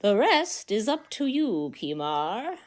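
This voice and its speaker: Ancient Martian sage: grave, cryptic, drawn-out delivery with theatrical swoops, comic radio play. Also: female voice, on the high side, sing-song, darkish tone. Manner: slow, dramatic, long pauses.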